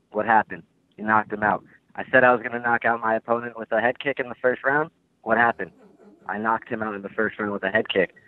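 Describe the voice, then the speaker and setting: A man's voice: mid-range voice, no room sound. An eighteen-year-old man, a fighter talking brashly on a phone line and giving boastful guarantees. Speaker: guest fighter. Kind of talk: phone interview. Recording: tinny dry phone line.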